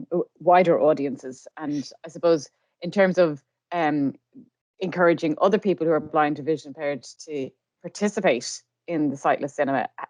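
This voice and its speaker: A woman interviewing on a podcast in a conversational, measured and careful way. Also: deep voice, darkish tone, no room sound.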